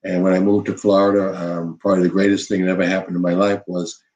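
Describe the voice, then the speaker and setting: Older man, very deep voice, former coach, unhurried storytelling, lots of "you know". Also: level tone, darkish tone, dull audio. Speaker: former college basketball head coach, guest. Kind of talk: reflective interview storytelling.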